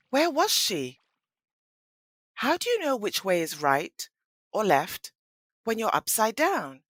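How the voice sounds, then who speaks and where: Expressive woman narrating a story. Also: mid-range voice, no room sound.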